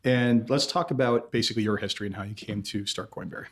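A man with a hoarse voice hosts a podcast interview. He talks fast in a somewhat low register and keeps the exchange light with friendly jokes. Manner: friendly, joking banter. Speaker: podcast host.

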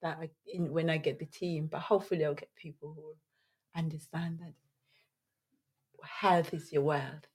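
A soft-voiced woman, a nutritionist, chatting as a podcast guest, animated and quick to laugh, often restarting her sentences. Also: low-pitched, slightly rough. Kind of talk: casual interview chat.

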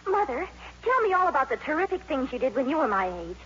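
An actress playing an excitable, bossy teenage high school girl in gushing 1950s radio drama style, on a muffled vintage broadcast. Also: fast, a little hiss or hum. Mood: curious, amused. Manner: gushing, slangy, exclamatory.

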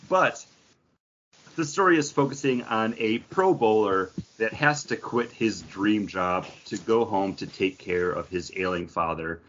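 A man's voice: lowish voice, fairly bright tone. Man chatting casually, slightly dull audio. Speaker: co-host of a comic book podcast. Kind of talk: casual chat about comics.

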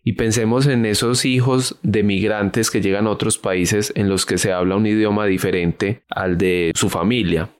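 A man's voice: fairly low pitch, fairly even intonation, moderate pace, few pauses. A man hosting a podcast conversation, asking curious questions and explaining things to his guest. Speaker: podcast host. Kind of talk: casual interview conversation.

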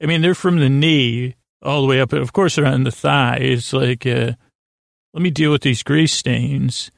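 A man hosting a sleep podcast, rambling in a halting, stammering, self-deprecating way that keeps circling back on itself. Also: medium pitch, vocal fry, no room sound, clean studio sound. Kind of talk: rambling bedtime monologue.

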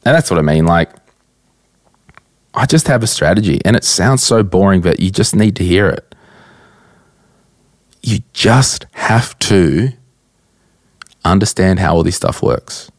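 Man, Australian accent, croaky, deep voice, dry close sound, blunt chatty host. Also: expressive, a little slow, pauses often, no echo. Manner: chatty, blunt, rambling with fillers. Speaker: podcast host. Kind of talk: casual solo Q&A podcast. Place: at a desk, indoors.

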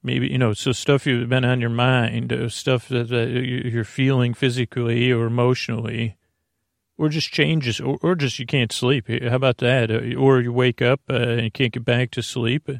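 A man hosting a bedtime podcast in a slow, creaky voice, wandering through gentle tangents and restarting his sentences. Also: medium pitch, little pitch movement, no room sound, studio quality. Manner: slow, meandering, self-interrupting, gently joking. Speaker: sleep podcast host. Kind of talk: rambling bedtime monologue.

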